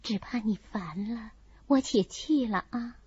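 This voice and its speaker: A woman voicing a sensible, kindly young lady, a soothing older cousin, measured and composed, in a dramatised classical novel audiobook. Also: fairly high pitch, darkish tone, breathy edge, muffled recording, pitched below the performer's own voice. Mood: reassuring.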